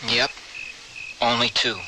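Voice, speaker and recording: An actor playing a stoic young ex-convict in an old-time radio western, talking in a terse, plain-spoken way. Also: male voice, slow, heavy background noise, close to an everyday voice. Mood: tense, grim. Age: young adult. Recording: old radio drama under loud music.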